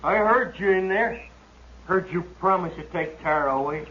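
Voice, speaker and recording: An American actor playing a jittery, defensive Old West homesteader, bluffing with threats in a 1950s radio western. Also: male voice, high-pitched, normal speed, lightly acted. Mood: threatening, agitated. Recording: dull, lightly noisy 1950s radio recording.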